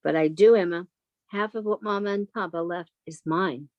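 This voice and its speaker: A woman's voice: on the low side, normal speed, no echo, muffled recording, lightly acted. A woman playing an earnest, love-struck and defiant sister in her thirties, in an old-time radio melodrama style.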